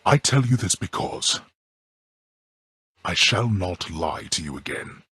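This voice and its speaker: An actor playing a stern, proud old god of the underworld and gruff father in a video game, speaking in a formal, archaic, imperious and curt way with a deep, gravelly, husky voice. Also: lively intonation, takes it slowly, bright, forceful tone, no echo, clean studio sound, exaggerated voice. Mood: solemn, halting. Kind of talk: video game character acting.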